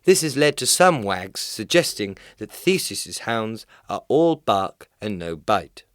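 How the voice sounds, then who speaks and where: A man playing a dry, formal newspaper reporter who reads satirical news with wry, measured delivery. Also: on the low side, sing-song, dry recording. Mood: wryly formal. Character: dry male newspaper gossip reporter, wryly mocking. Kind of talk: scripted satirical news reading.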